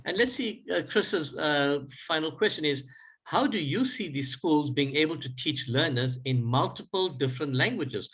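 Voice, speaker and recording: A man who moderates the session, reading audience questions aloud in a measured way with pauses. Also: on the high side, expressive, fairly bright tone, slightly rough.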